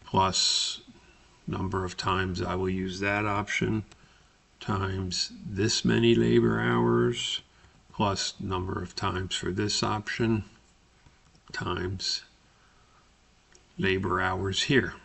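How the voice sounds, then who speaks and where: Male instructor for screen tutorial: deep, bright-edged voice, deliberate halting step-by-step delivery. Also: creaky. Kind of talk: screen-recorded spreadsheet tutorial narration.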